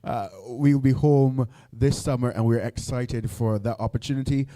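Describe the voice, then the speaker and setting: Barbadian man, press conference moderator, polite, filler-heavy, mellow low voice. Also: steady tone. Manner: polite, measured, with frequent fillers. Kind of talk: formal press briefing.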